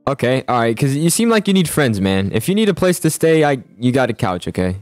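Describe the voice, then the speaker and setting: A young man improvising a school roleplay in a video game, talking in an excitable, slangy way. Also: medium pitch, brisk, no echo, soft background music. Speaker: host and player in a school roleplay. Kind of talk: casual improvised gaming roleplay chat.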